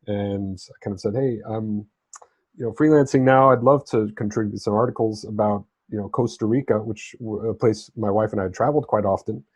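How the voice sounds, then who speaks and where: A man's voice: on the low side, brisk, darkish tone, breathy edge, no room sound. A man who grew up in southern Spain talks conversationally as an interview guest, telling his own story in an easygoing, explanatory way. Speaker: interview guest, freelance writer and travel correspondent.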